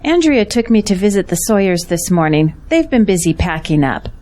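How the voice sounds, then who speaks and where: A devout, hospitable churchwoman played by an actress in an audio drama. She speaks gently and sincerely in a medium-pitched voice. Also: sing-song, normal speed, slight background noise, close to an everyday voice. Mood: reassuring.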